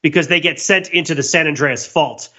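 A man's voice: fairly high pitch, brisk, sharp tone, dull audio. A man co-hosting a podcast, explaining things in a wordy, analytical way in casual pop-culture discussion. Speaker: podcast host.

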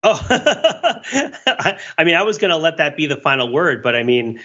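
A man with a bright, forward voice pitched a bit above average chats casually as a podcast panelist. He muses tentatively, circling toward his point. The recording is slightly lo-fi. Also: very animated, normal speed. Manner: tentative, musing, chuckles through some phrases. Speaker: podcast panelist discussing film. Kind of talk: casual chat, musing commentary.

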